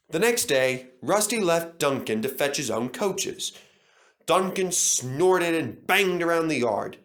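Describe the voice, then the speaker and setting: A man reading and adapting a children's engine story, with animated delivery. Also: medium pitch. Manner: animated, expressive.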